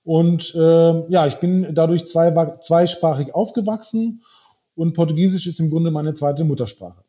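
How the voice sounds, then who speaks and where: A man's voice: on the high side, unhurried, warm tone. A man in his forties who grew up in Brazil, a wealth manager talking through his views in a podcast conversation with frequent hesitations and restarts.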